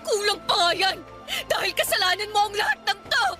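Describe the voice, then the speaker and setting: Radio drama: a fierce young heroine fighting back, high-pitched, over music. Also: female voice, lively intonation, a little fast, full character voice. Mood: angry, accusing. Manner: shouting, tearful. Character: young woman, wronged pregnant heroine, fierce. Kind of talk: Tagalog radio drama acting. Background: music bed.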